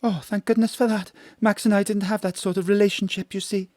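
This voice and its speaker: A woman's voice: medium pitch, steady tone, fairly quick, darkish tone, no room sound, clean studio sound, close to an everyday voice. A woman playing a genteel, earnest lady client in an audio drama, with a prim manner. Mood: earnest, concerned. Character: anxious, genteel lady client fretting over lost pet.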